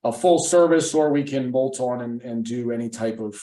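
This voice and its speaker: A man's voice: mid-range voice, normal speed, mellow, echoey room. A man presenting a webinar as a financial advisor, speaking conversationally with frequent restarts.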